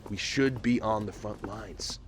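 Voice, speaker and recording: A man's voice: lowish voice, unhurried, slight background noise, close to an everyday voice. A man playing a frank, dutiful Marine captain in an audio drama, speaking in a direct military manner. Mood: frustrated.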